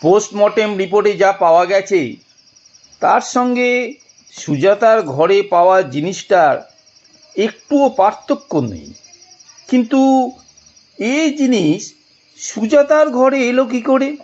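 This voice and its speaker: A man narrating a detective story in the first person, theatrical and suspenseful, with deliberate phrasing. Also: sits high, animated, darkish tone, muffled recording.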